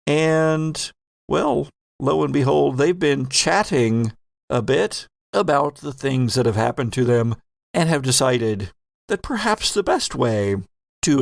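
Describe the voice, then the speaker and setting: A man speaks as a podcast game master, unhurried and a little gravelly in a dry, close recording. His phrasing is theatrical when he narrates and conversational when he addresses the players. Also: medium pitch, no echo. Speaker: host and game master of an actual-play podcast. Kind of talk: narrating a tabletop game with casual banter.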